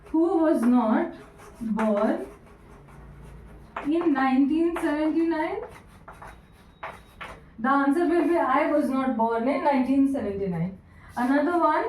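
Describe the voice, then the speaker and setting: A woman teaching English grammar, repeating each sentence slowly word by word and asking her students questions. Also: sits high, a little mellow, a little hiss or hum. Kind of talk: classroom grammar lesson. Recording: echoey room, voice from a distance.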